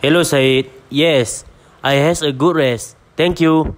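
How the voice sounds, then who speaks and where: A man's voice: fairly high pitch, fairly even intonation, takes it slowly. A young man with a Malaysian accent playing a polite, curious tourist in an amateur audio drama, stilted in delivery. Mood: polite, interested. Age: young adult.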